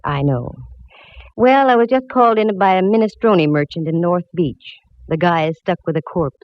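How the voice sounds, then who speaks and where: A woman's voice: fairly low pitch, no room sound, lightly acted. A woman playing a wry hardboiled lady detective. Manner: wry, hardboiled, quick with quips. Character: wisecracking woman private eye, young adult. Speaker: narrator. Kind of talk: 1940s radio acting. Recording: dull old radio broadcast with faint music.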